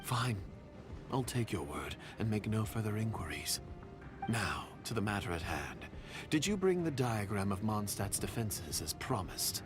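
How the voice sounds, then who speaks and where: An actor playing a sly, cautious foreign agent who speaks smoothly and at times in a hush. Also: male voice, lowish voice, sing-song, unhurried. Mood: businesslike. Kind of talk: video game voice acting. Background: game score music.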